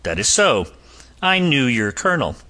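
A man's voice: medium pitch, very animated, moderate pace, pushed and bright, voice pushed up. A man voicing a composed physician and former soldier with a dry, cutting wit and a lilt. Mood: coolly mocking. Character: cool, sardonic Irish physician and ex-soldier, adult man.

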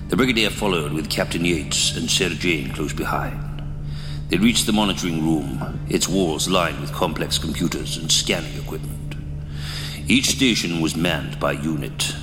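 Very deep male narrator, echoey. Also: normal speed. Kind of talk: scripted audio drama narration. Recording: echoey long reverb, music behind.

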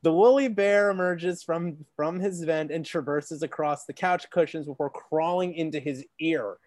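A man with a deep voice reading stage directions aloud during a comedy script read-through. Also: moderate pace, darkish tone, dry recording.

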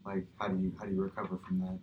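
A man's voice: sits low, completely flat delivery, rapid-fire, lo-fi sound. A young man interviewing on a casual podcast, informally prompting his guest with questions. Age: young adult. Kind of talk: casual chat. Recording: faint background noise.

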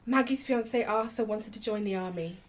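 A woman's voice: mid-range voice, brisk, lightly acted. A woman playing a worried wartime mother in a radio play, speaking plainly and with concern. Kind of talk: amateur radio play acting.

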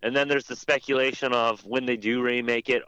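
A man chatting casually as a podcast panelist about video games, rambling and haltingly rephrasing himself. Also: medium pitch, fairly even intonation, pushed and bright, clear, resonant tone, no room sound. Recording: dry, dull lo-fi audio.

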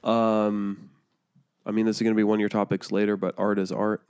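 A man's voice: lowish voice, little pitch movement, fairly quick, darkish tone, smooth, no room sound, studio quality. A man co-hosting a podcast, trading casual banter and chipping in informally. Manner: informal, interjecting. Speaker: podcast co-host.

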